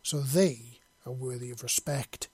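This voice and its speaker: Man voicing an alien warrior woman, proud, menacing, measured, dark tone, dry. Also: mid-range voice, breathy edge, dry recording. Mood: matter-of-fact. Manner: slow, measured, matter-of-fact.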